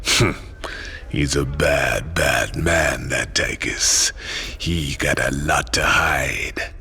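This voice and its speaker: Game villain-ally: brooding Caribbean mystic operative, extremely low gravelly voice, slow and menacing, music underneath. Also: male voice, sharp tone, full character voice. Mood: ominous, probing. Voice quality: gravelly, often breathy. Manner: slow, ominous, knowing. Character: brooding, cryptic psychic outlaw operative, a grown man. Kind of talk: video game cutscene acting.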